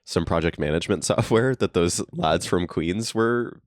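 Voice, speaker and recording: A young man trades dry, teasing banter while co-hosting a podcast. His voice sits very low, and the sound is close and dead, with no room around it. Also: normal speed, dry recording. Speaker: podcast co-host. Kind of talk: casual chat. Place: friend's basement.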